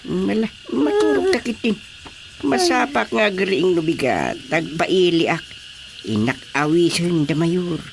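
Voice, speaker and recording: Slow, pompous Filipino village chairman. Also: sits high, very animated, creaky, slight background noise, full character voice. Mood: confident, bossy. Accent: Filipino Ilocano. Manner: pompous, self-important. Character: boastful village chairman planning his grand birthday party. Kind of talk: Ilocano radio comedy drama acting.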